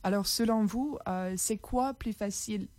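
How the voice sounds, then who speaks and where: A woman's voice: mid-range voice, measured pace, darkish tone, no room sound. A woman radio host announcing the station and politely asking a guest questions. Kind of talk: radio interview hosting.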